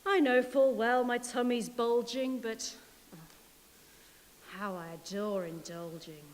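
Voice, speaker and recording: Big bad wolf, male, greedy and boastful, read by a woman; slow gloating threats in a reverberant hall. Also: a little high.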